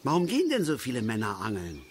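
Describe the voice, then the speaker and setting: A man's voice: medium pitch, huge pitch swings, fast talker, a little hiss or hum. An actor playing an embittered, touchy middle-aged male angler who rambles and grumbles irritably in a radio play. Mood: weary, grumbling. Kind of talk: German radio play acting.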